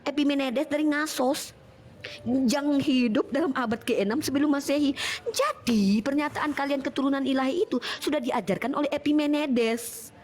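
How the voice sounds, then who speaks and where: A woman's voice: sits high, normal speed. A woman with a Medan accent hosting an online discussion, reading passages aloud with playful, mocking comments. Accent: Medan Indonesian. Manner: playful, mocking, laughs at her own reading. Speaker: host.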